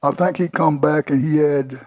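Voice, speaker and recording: A man's voice: on the high side, steady tone, moderate pace, darkish tone, no room sound, highs cut off. An older man telling his life story in an interview, plainly and with many restarts. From Houston, Texas. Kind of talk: oral history interview.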